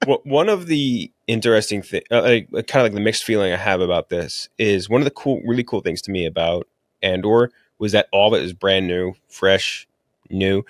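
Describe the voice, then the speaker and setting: A man's voice: fairly low pitch, moderate pace, no echo, clean studio sound. A man co-hosting a movie podcast, chatting casually and bluntly about film and TV with slang and swearing. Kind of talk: casual opinionated film and TV chat.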